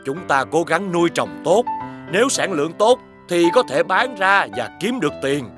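An actor playing a generous, earnest village benefactor in an animated folktale, sincere and encouraging. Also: male voice, fairly high pitch, normal speed, music in the background, natural voice.

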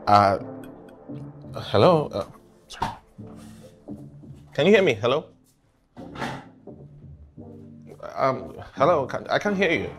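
A man acting in a film drama as a smooth-talking lover who gets evasive and defensive when cornered. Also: medium pitch, very animated, a little slow, faint music behind. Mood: casual, cheerful.

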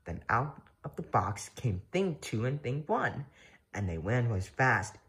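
A woman reading a children's rhyming book aloud in an expressive, sing-song delivery. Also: extremely low pitch, unhurried. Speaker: storybook reader.

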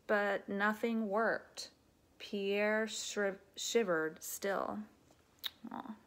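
Woman reading slowly to young children. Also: mid-range voice. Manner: expressive, deliberate storybook delivery for young children. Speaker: elementary teacher reading a picture book aloud. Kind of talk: children's read-aloud with explanatory asides. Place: living room at home.

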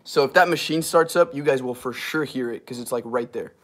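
Young man with a slightly hoarse voice chatting on a livestream. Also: medium pitch, brisk. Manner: rambling, laughing, chatty with fillers. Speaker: livestream host. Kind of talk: casual livestream chat reading comments. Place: small wood-paneled garage room, indoors. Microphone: device camera at arm's length.

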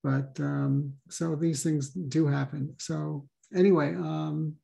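A man hosting a talk over a video call, speaking haltingly with many pauses and ums. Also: a little high, little pitch movement, gravelly, warm tone. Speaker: host and co-chair.

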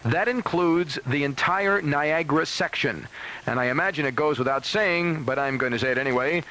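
Male American seventies radio announcer: sharp, higher-pitched, emphatic, admonishing emergency delivery. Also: moderate pace, low-quality recording. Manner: emphatic, admonishing, repeats key points. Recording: 1970s radio aircheck on cassette.

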